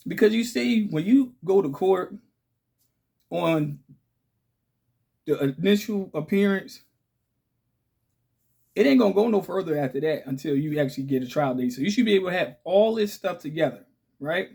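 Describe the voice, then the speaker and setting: Raspy-voiced man, chatty vlog host. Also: sits high, expressive, normal speed, long pauses, darkish tone. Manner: chatty, repeats points with "okay?" and "right?". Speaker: channel host. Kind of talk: casual direct-to-camera talk.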